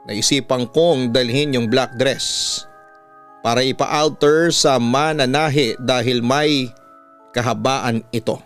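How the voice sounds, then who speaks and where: A man with a Filipino accent reads a listener's horror story letter as a radio storyteller, in a measured way with deliberate pauses. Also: mid-range voice, a bit bright. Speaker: radio announcer and host reading listener letters. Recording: background music under the voice. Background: instrumental music.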